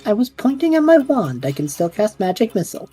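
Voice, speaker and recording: A woman's voice: mid-range voice, a little fast, husky, soft background music. A woman voicing an eager, scatterbrained young male adventurer who jokes his way through danger, in a fan audio drama. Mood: excited. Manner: excitable, joking, reckless.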